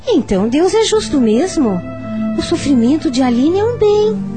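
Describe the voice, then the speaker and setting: Brazilian radio drama role: troubled mother of a sick child, pleading, highly animated pitch, music underneath. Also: moderate pace. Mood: dawning conviction. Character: anguished, questioning mother of a sick daughter. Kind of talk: radio soap opera acting.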